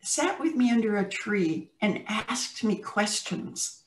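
An older woman with an American accent speaks thoughtfully and unhurriedly, pausing and restarting as she recounts her experiences. Her webcam sits some way off, so her voice carries a roomy echo, like a call from a home room. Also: mid-range voice, animated. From United States. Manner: measured, thoughtful, with pauses and restarts. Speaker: interview guest. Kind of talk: reflective storytelling on a video call. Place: home room with plants, indoors. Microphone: video call webcam. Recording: roomy video-call audio from a distant mic.

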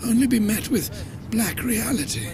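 An actor playing a dignified old English butler in a video game, solemn and formal, with a British accent. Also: male voice, sits high, animated, moderate pace, background noise. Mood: mournful. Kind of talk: video game cutscene acting.

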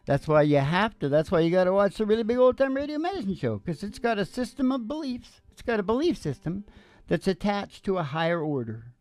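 A man's voice: high-pitched, very animated, a little fast, darkish tone, no room sound. An older man making teasing, folksy stage banter as a musician hosting a show, speaking into a stage microphone. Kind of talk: casual joking stage banter. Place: small curtained indoor stage. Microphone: stage microphone on a stand. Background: acoustic guitar.

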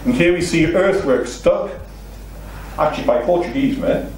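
A man's voice: on the high side, animated, moderate pace. A middle-aged man with a Welsh accent delivers a seminar lecture, explaining points steadily and throwing in rhetorical questions. His voice is caught by a distant mic in a very echoey meeting room. A faint hum of room noise sits underneath. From Wales. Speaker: seminar speaker. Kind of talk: illustrated lecture to a seated audience. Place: meeting room with a seated audience. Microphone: far room recording, no mic seen.

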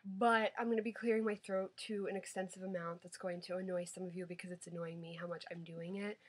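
A young woman with laryngitis, sounding hoarse and nasal, gushing excitedly in a home unboxing vlog recorded on a webcam. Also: on the low side, quick pace. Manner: excited, gushing, repeats words. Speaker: vlogger. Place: small room at home, indoors.

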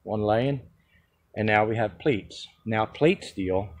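A man's voice: lowish voice, slow. A man walking through a steel-fabrication job outdoors while filming one-handed on a phone, talking practically and step by step. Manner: practical, explains step by step with fillers. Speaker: contractor showing a fabrication method. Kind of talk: casual how-to walkthrough. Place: backyard, outdoors. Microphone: handheld phone.

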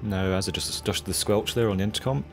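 A man's voice: fairly low pitch, fast, music in the background. A young man giving casual pilot commentary over his flight. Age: young adult.

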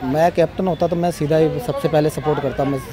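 A middle-aged man outdoors giving a relaxed, conversational opinion. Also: fairly high pitch, fast talker, a crowd in the background. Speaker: interviewee. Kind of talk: casual opinion.

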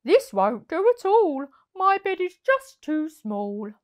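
Kindly, homely man for a children's story, played by a woman, patient, with animated intonation. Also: sits high, slow, voice pushed up, heavily acted. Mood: mildly exasperated. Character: kindly, patient man living in park hut.

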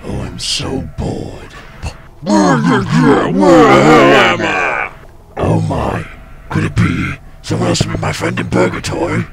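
A man's voice: deep voice, wildly swooping pitch, takes it slowly, gravelly, very noisy, heavily acted. A man voicing a lonely, chatty soul in purgatory, theatrical and rambling, in a parody game dub. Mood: bored.

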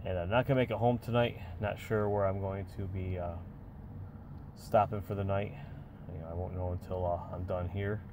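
A man's voice: deep voice, noisy background. A tired American man in his forties, a truck driver, chatting casually and rambling from inside his truck cab into a phone camera. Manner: rambling, relaxed, many filler pauses. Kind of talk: casual vlog chat. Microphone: phone or dash camera at arm's length.

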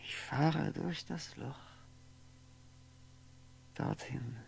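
An actor playing a lonely, brooding man in a radio drama monologue, musing haltingly to himself, wistful and wry, at times dropping to a whisper. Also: a little high, vocal fry, full character voice. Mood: entranced, longing. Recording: noisy 1960s radio recording, faint hum.

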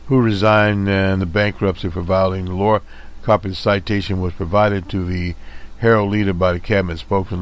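A man with a very deep, low voice reads news stories on his radio show. He stops often on "uh", corrects himself mid-sentence, and adds pointed remarks of his own between the quotes. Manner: halting, many "uh" fillers and self-corrections; editorializing asides. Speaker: radio host reading news. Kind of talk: reading news reports aloud with commentary.

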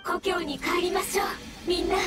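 A woman's voice: extremely high pitch, steady tone, moderate pace, music in the background. A woman voicing a polite, devoted mushroom creature in an anime game, speaking formally and earnestly. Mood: hopeful. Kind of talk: Japanese anime game voice acting.

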